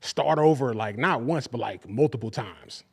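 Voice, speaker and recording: A man's voice: mid-range voice, moderate pace, creaky, husky. A man hosting a podcast, interviewing his guest in a casual, laid-back way and affirming her often with short replies. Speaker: podcast host.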